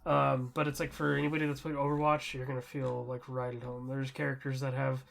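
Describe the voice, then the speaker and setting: A man's voice: mid-range voice, little pitch movement, brisk, vocal fry, a bit bright. A man co-hosting a podcast, chatting casually and informally about games with enthusiasm. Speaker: podcast co-host. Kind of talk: casual gaming chat.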